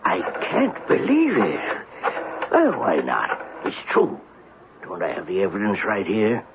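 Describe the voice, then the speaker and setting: An actor playing a suspicious, miserly old male beggar in a radio drama, talking in halting, folksy, ungrammatical phrases. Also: on the low side, wildly swooping pitch, creaky, full character voice. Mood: excited, cagey. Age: older. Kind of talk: 1970s radio drama acting. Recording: tinny, band-limited, noisy old radio broadcast.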